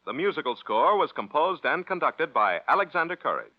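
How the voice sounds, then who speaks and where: A man's voice: fairly high pitch, very animated, fairly quick. A man announcing in scripted 1940s American network radio style, as a program announcer. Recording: dull vintage radio recording.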